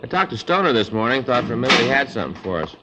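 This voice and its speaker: An actor playing a plain-spoken police detective with an American accent in a 1950s radio drama, low-key and conversational. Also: male voice, very animated, racing, natural voice. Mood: casual. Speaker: supporting actor, detective's partner. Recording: muffled, noisy old radio recording.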